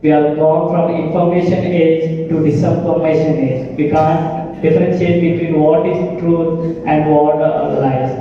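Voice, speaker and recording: A man giving a prepared spoken presentation, explaining deliberately in short, halting phrases. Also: fairly high pitch, flat delivery. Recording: very echoey room, far mic, muffled, noisy.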